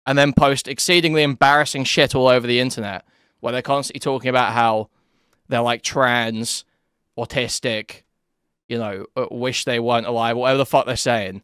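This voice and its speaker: Crude, mocking young man with a smooth voice. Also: medium pitch, steady tone, normal speed, fairly bright tone, no echo, studio quality. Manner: mocking, crude and sarcastic, laughs at his own jabs. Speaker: commentary YouTuber. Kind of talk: drama commentary.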